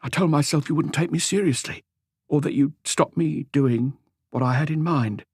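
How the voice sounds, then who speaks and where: Man acting as nervous humble tradesman, raised pitch, hesitant, stammering, pleading, apologetic, audiobook character. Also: normal speed, a little mellow, slightly rough, no room sound, studio quality. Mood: nervous, confiding.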